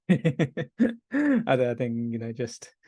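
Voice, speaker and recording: A man's voice: mid-range voice, drawn-out words, mellow, no room sound, clean studio sound. A man, introducing himself hesitantly on a video call with many fillers and laughs. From Sri Lanka. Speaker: PhD student guest. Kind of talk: casual self-introduction.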